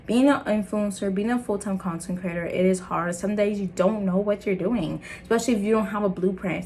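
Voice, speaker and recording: A young woman with an American accent, born in Liberia and raised in the States, talking to camera in an earnest, animated motivational vlog. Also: slight background noise. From Liberia, raised in the United States. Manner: earnest and animated, laughing, jumping into high excited bits. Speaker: content creator. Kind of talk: casual motivational vlog talk.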